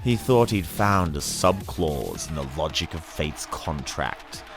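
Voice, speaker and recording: A deep-voiced man narrates dark science fantasy in a theatrical, menacing style. His pacing is slow, with deliberate pauses, and his pitch moves widely as he leans on key words. Music plays beneath the reading. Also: expressive. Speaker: narrator reading an audiobook excerpt. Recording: music under the voice. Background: dramatic background music.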